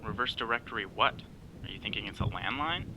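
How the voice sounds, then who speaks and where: A low-voiced man playing the wisecracking best friend in an audio drama. He is bossy and wry, delivering sarcasm with exasperation, over clear background noise. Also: animated, brisk. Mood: wry, exasperated. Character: sardonic, bossy male best friend named Larry, cellphone company worker. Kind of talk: naturalistic audio drama acting.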